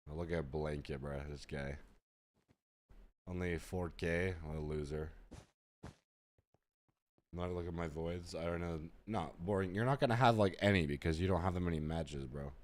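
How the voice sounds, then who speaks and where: A man streaming a game while sick, his voice rough and hoarse. He chats with his viewers in laid-back slang and jokes around. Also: sits low, moderate pace, long pauses, a little hiss or hum. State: sick, voice gone very deep. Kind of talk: casual gaming stream chat.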